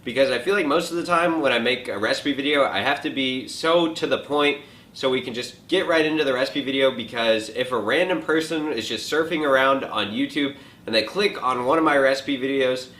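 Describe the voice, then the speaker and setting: A twenty-year-old man hosting a casual question and answer vlog from a home kitchen, relaxed and joking, with lots of filler likes. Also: medium pitch, fairly quick. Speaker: fitness vlogger answering viewer questions. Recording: echoey room.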